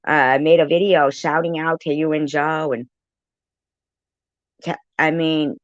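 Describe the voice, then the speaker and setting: A woman's voice: deep voice, steady tone, measured pace, dry recording. A blunt, combative woman doing reaction commentary, talking back and swearing freely, in a dull, lo-fi recording. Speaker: commentary channel creator.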